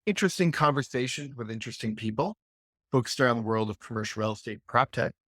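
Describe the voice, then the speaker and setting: A man hosts a podcast and introduces his guest, speaking in a relaxed way and leaning on filler words. His voice sits at an average pitch. The recording is dry and close, with no room sound. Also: fast talker, dry recording. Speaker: podcast host. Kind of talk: casual interview hosting.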